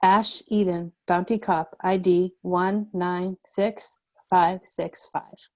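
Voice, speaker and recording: A woman's voice: fairly low pitch, fairly even intonation, slow, no room sound. An actress at a table read playing a capable, self-assured female bounty cop with a wry, clipped manner. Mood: tense. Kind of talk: screenplay table read acting.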